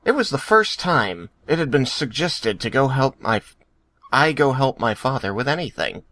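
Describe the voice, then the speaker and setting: A man's voice: mid-range voice, very animated, normal speed, a bit bright. A man playing a wry grown-up narrator recalling boyhood, mock-dramatic, in an amateur table read. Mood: wry nostalgia.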